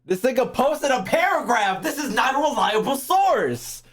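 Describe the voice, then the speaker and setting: A man's voice: very high voice, big pitch swings, normal speed, fairly bright tone. A young man giving casual live-stream commentary on a game, brash, slangy and profane, often repeating himself.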